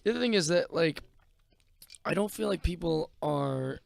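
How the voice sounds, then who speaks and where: A man's voice: on the high side, animated, moderate pace, creaky, no room sound. A young man, a comedian guest, chatting casually and rambling in a self-mocking way on a podcast. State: burping from beer.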